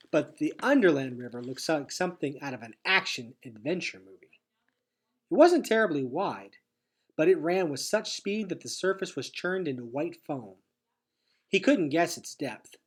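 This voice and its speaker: Animated, slightly husky male storybook reader. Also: mid-range voice. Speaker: read-aloud reader of a children's novel. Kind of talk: expressive storybook narration.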